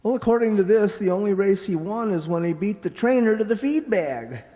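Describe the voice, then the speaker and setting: A man's voice: sits high, lively intonation, fairly quick, dark tone. Man playing a swooping, slangy American wise guy. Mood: sardonic. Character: slangy, scheming small-time gambler boyfriend. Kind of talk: old-time radio comedy acting. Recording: echoey hall recording.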